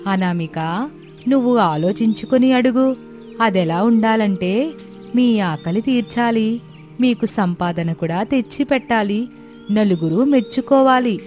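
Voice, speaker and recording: Magical female iron griddle for a story cartoon played by a woman: bossy, unhurried, music underneath. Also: mid-range voice, a little mellow, natural voice. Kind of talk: children's moral-story cartoon voice. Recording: music bed behind the voice.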